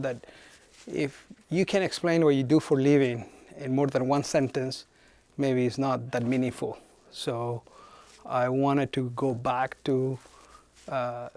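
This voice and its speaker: A middle-aged man from Chile, answering interview questions as a head of human resources and pausing often to find his words. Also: medium pitch, darkish tone, no echo. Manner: thoughtful, frequent hesitations.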